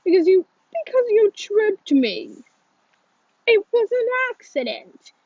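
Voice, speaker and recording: A woman's voice: very high voice, very animated, very fast, no room sound, distant mic, muffled recording, pitched above the performer's own voice. A woman voicing an indignant, sulky schoolboy who whines and protests in a storybook reading. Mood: indignant, whining. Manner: pouting, protesting. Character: indignant, whining blind schoolboy, sulky and defensive.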